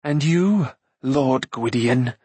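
A man's voice: medium pitch, level tone, unhurried, husky, no echo. A man voicing a haughty fallen sorceress queen in a storybook, bitter and despairing, with dramatic, imperious delivery.

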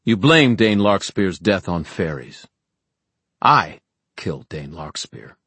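Bold young male warrior, played by a male narrator, righteous, accusing, emphatic and clear, for a fantasy audiobook. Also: sits low, very animated, takes it slowly, fairly bright tone, dry recording, heavily acted. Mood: defiant accusation.

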